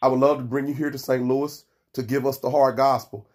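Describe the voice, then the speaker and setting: A pastor hosting a radio podcast, talking with a cold and coughing, often saying amen. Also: male voice, mid-range voice, fairly even intonation, fairly quick. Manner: warm encouraging, frequent amens.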